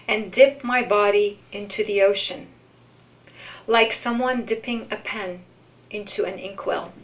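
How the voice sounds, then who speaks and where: Female poetry reader, mid-pitched, unhurried and measured, live event delivery. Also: a bit bright, poor audio. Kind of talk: live poetry reading with informal commentary.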